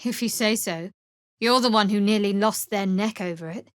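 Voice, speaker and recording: A woman playing a guarded, wry young cleric of a dark goddess in a video game, speaking in a dry, measured, sardonic way. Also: medium pitch, no echo, natural voice.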